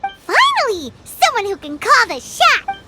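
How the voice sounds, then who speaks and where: A woman voicing a tiny childlike fairy companion in a game, squeaky, excitable, bossy and cheeky. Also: unhurried, slight background noise, heavily acted. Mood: eager, curious. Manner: excitable, pushy. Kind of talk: game voice acting.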